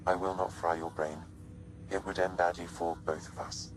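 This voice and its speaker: A man voicing a calm, measured artificial intelligence companion in a video game, speaking with precise, formal phrasing. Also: low-pitched, a little hiss or hum, natural voice. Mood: calm, explanatory. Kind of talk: scripted video game dialogue. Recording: music behind the voice.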